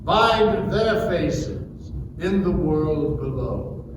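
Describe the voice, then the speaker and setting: A man's voice: a little high, animated, drawn-out words. A man playing a stern, majestic God in a dramatic scripture reading, declaiming with command. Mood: stern challenge. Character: stern, wrathful, majestic God speaking from the whirlwind. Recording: echoey hall, distant mic, background noise.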